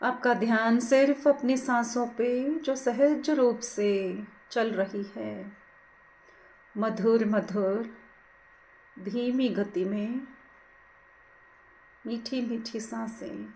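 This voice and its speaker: A woman leading a guided meditation, giving soothing, gentle, unhurried instructions. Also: on the high side, fairly even intonation, slight background noise, low-quality recording. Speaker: meditation teacher.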